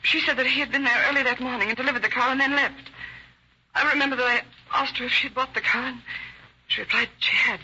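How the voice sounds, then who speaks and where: A woman's voice: sits high, fairly even intonation, fast, pushed and bright. An actress playing a worried, loyal wife hesitantly giving her statement to police in an old radio drama. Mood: anxious, puzzled. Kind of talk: 1940s radio acting. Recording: muffled, hissy old radio recording.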